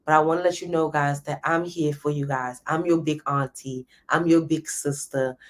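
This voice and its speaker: Passionate woman, slightly low voice. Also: fairly even intonation, normal speed. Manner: passionate, preachy, speaks straight to viewers. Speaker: host. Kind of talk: live-stream motivational talk.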